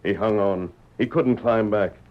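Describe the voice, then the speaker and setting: An actor playing a righteous masked frontier hero, speaking American English in a firm, measured, authoritative way in a radio western. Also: male voice, on the low side, close to an everyday voice. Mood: grave, storytelling. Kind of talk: old-time radio western acting. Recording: muffled vintage radio drama.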